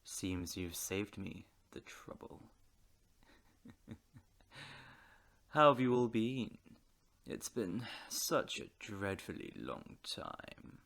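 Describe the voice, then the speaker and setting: Villain role: a smug crime lord, slow and gravelly, theatrically polite menace. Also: male voice, lowish voice, fairly bright tone, full character voice. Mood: gloating. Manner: drawling, mock-polite and gloating. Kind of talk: audio drama acting.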